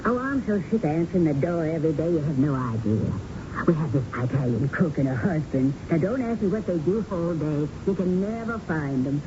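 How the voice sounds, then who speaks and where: A restless, chatty older Southern wife in a radio play, with a fairly low voice. She rambles fussily and stretches her words. The old broadcast recording is muffled and noisy. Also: lively intonation. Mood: complaining. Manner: rambling, complaining, drawn-out words. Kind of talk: 1970s radio drama acting.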